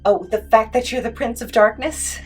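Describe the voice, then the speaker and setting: A woman's voice: a little high, moderate pace, slightly rough, soft background music. Woman as a sheepish, bumbling underling. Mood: meek, cheeky. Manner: sheepish, hesitant, servile. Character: bumbling, deferential underling of a dark master. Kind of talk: scripted comedy podcast skit.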